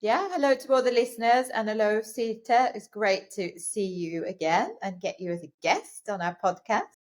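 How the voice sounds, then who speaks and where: A woman's voice: medium pitch, normal speed. Woman co-host making light small talk. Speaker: podcast co-host. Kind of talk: casual chat.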